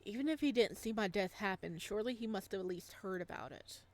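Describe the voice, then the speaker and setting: A woman giving informal let's play commentary, reacting excitably and thinking out loud as she goes. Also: mid-range voice, fast talker. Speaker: gaming video creator playing a visual novel. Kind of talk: let's play commentary, reading dialogue aloud.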